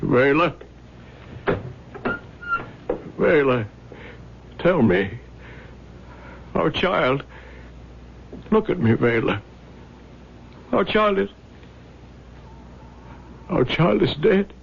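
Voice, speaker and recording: An actor playing a devoted, anxious merchant husband in a radio drama, pleading and halting with much emotion. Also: male voice, on the high side, very animated, creaky, slight background noise, full character voice. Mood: anxious, distraught. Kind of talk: old-time radio drama acting. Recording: dull old radio broadcast.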